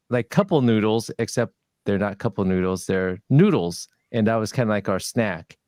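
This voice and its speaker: A man from Taiwan chatting as a panelist, in a halting way with restarted phrases. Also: mid-range voice, darkish tone, clear, resonant tone, no echo, studio quality. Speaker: urology clinic panelist. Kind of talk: casual panel chat.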